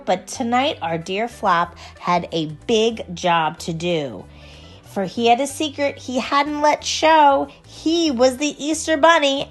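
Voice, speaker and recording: A woman reading a rhyming children's storybook aloud in an expressive, unhurried storytelling manner. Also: fairly high pitch. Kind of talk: storybook read-aloud in rhyme.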